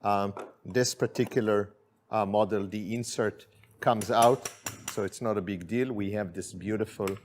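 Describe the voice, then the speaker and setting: Guy with a lowish voice, chill cooking demo in his kitchen, lots of ums. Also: measured pace, a little hiss or hum. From Israel. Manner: relaxed, friendly, with many fillers. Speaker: chef and spice company owner. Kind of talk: cooking demonstration.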